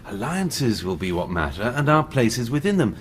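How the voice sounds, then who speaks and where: An actor playing an urbane, wry British army major with an English accent in a radio drama. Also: male voice, medium pitch, very animated, normal speed, a little hiss or hum, lightly acted. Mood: wry, insistent. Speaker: army officer.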